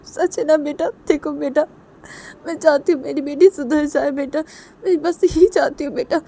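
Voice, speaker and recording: A woman's voice: fairly high pitch, dark tone, voice pushed up, lightly acted. A woman voicing an anxious middle-aged mother who pleads tearfully and repeats her entreaties. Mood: tearful pleading. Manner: repetitive, imploring, drawn-out pleas.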